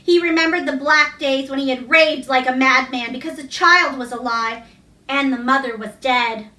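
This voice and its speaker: A woman reads a classic story aloud in a bright, high voice. The room echoes noticeably around her narration. It is storybook reading, line by line from the page. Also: moderate pace. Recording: echoey room, distant mic.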